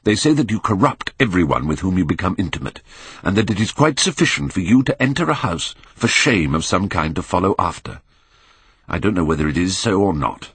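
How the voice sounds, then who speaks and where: A man acting a grave, earnest Victorian painter, deep-voiced. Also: big pitch swings, fairly quick, husky, no echo, full character voice. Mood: urgent, distressed. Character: earnest, anguished male Victorian painter, pleading and moralising.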